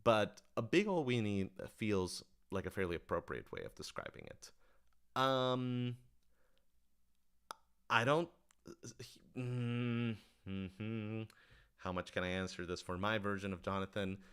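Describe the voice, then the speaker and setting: A man with a low-pitched voice speaks in a casual, off-the-cuff livestream style. He explains things thoughtfully and with some animation, often qualifying his words as he works toward the point. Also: takes it slowly, long pauses, a bit bright, clear, resonant tone. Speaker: writer-director answering audience questions.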